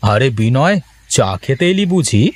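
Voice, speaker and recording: A man's voice: mid-range voice, very animated, a little slow, voice pushed down. A man voicing a hearty, familiar village farmer, an elder-brother type, in a moral-story cartoon with folksy talk.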